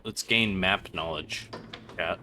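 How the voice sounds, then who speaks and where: Bright, forceful low-voiced man streaming. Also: takes it slowly, slight background noise. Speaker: livestreamer. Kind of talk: casual gameplay stream commentary.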